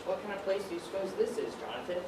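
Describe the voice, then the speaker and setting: A man's voice: sits high, lively intonation, fast, far from the mic. A teenage male student playing a nervous, doubtful young factory worker in a stage play, with anxious, exclamatory delivery. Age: teens. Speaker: student actor. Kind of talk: high school stage play acting. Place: stage in a large room, distant mic. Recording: echoey, noisy stage recording from afar.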